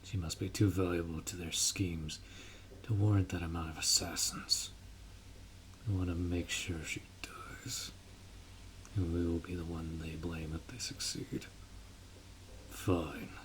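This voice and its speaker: A stern orc warrior acted by a man speaks in a very low voice at a slow, weighty pace. He is formal and commanding, an honorable protector from a fantasy audio drama. Some ambient noise sits behind him. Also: flat delivery, a bit bright. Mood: hushed, commanding. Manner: formal, commanding, measured delivery. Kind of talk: scripted fantasy audio roleplay acting.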